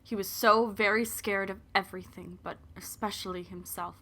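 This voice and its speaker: A woman's voice: medium pitch, steady tone, moderate pace, bright, forceful tone. A young woman giving scripted narration for an audio drama about characters, as the storyteller. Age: young adult.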